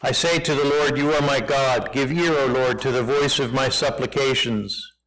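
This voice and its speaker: A man with a loud, projecting voice reads psalms aloud into a pulpit mic while testing a church's sound system. His tone is bright and sharp, cutting clearly through each line. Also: medium pitch, moderate pace, low-quality recording. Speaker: scripture reader testing church microphones. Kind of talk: reading psalms aloud during a sound check. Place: church sanctuary. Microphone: pulpit and lapel mics.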